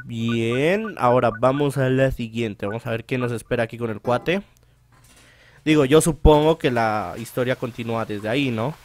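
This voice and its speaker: A man's voice: mid-range voice, normal speed, slight background noise. A man hosting a let's play, reading visual novel narration aloud and now and then stumbling and rereading phrases.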